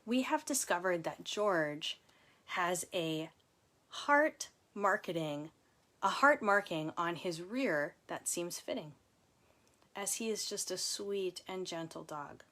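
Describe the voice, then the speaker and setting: Informal woman author, slightly low voice. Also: animated, takes it slowly, bright, forceful tone. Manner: informal, chatty, with asides to viewers. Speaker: author reading from her book. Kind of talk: casual livestream book reading and chat.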